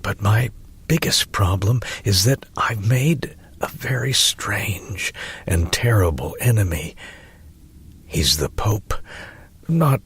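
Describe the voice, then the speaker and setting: Man voicing a loud, sardonic teenage storyteller. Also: fairly low pitch, expressive, slow pace, breathy edge, full character voice. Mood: wry, emphatic. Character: sardonic, aggrieved teenager narrating a strange misfortune. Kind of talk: first-person audiobook narration.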